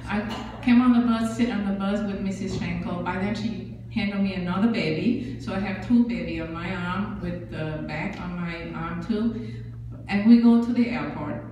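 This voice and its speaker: A woman's voice: mid-range voice, mellow, slight background noise. A woman in her sixties from Vietnam with a Vietnamese accent, giving an earnest personal testimony in a church with many fillers and simple grammar. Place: large church sanctuary. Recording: echoey church hall, speaker far from mic.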